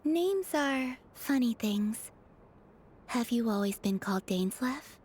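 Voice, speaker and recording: Soft, high, enigmatic young woman. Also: animated, slow pace, slight background noise. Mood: pensive, wistful. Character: gentle, enigmatic, detached young-sounding woman, otherworldly and pensive. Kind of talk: dramatic video game dialogue. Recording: faint game music behind.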